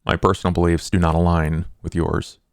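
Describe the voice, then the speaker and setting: A man's voice: deep voice, flat delivery, fairly quick, no room sound, studio quality. A man playing a tabletop role-playing game, talking in a laid-back, hesitant way. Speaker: player.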